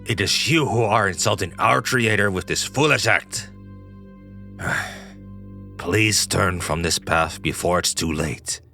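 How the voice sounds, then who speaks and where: A man acts out a righteous, devout old-world father in a fantasy audio drama. His deep voice moves slowly and reproachfully, with faint music underneath. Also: vocal fry, sharp tone, full character voice. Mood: reproachful, pleading.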